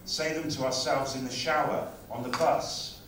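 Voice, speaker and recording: A man giving a conference talk on language teaching, speaking in a lively, conversational way. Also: medium pitch, normal speed, background noise. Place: conference hall. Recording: very echoey hall, far from mic.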